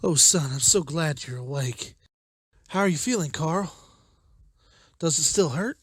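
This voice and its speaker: Actor as a husky, anguished protective father. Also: a little high, animated, a little slow, no room sound, studio quality. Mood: tender. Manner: intense, emotional. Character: anguished, protective father leading zombie-apocalypse survivors. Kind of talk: motion comic voice acting.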